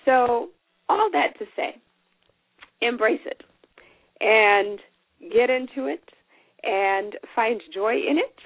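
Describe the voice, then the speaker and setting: A woman's voice: a little high, expressive, slow pace, pauses often, no room sound. A woman in her forties hosting a solo podcast, talking in a chatty, folksy, encouraging way. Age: 40s. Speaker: podcast host. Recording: tinny phone-like sound.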